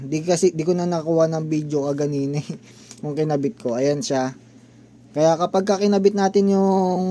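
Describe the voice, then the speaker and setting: A man's voice: on the high side, warm tone. A man giving a casual how-to tutorial as a vlogger, pointing things out step by step with short interjections. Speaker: DIY vlogger.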